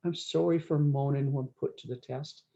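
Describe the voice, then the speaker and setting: Woman reading as meek, mellow old cowboy, muffled. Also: very deep voice, fairly even intonation, moderate pace, vocal fry. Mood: humbled, repentant. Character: humbled, suffering old cowboy Job, plaintive and meek.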